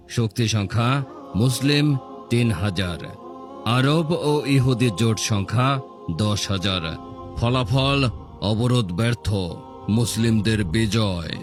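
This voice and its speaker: A man narrates in a scripted documentary style with a low voice. He takes it very slowly, and music plays clearly behind him.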